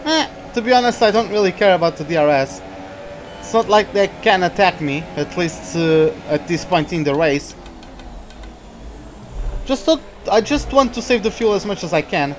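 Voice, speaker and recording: A man's voice: sits high, animated, normal speed, slight background noise. A young man, chatting with viewers while streaming a racing game. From Portugal. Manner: chatty, reads out viewer names, reacts to the race. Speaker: gaming streamer.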